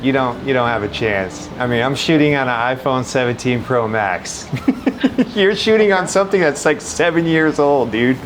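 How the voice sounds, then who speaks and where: A man's voice: medium pitch, animated, moderate pace, background noise. A man chatting casually and in a laid-back buddy manner on a call with a friend. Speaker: challenger, friend of the host.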